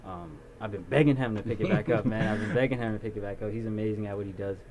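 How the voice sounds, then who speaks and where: A young man being interviewed about his video production company, talking in a relaxed, rambling way with lots of ums. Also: on the low side, racing, a crowd in the background. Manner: relaxed storyteller, many ums and restarts. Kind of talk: casual interview. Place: small recording studio. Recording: noisy background.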